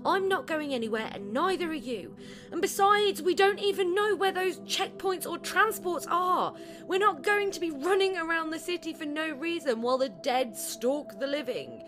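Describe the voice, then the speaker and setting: A woman's voice: very high voice, lively intonation, moderate pace, music in the background. A woman playing a stubborn, sceptical daughter in an audio drama. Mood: defiant.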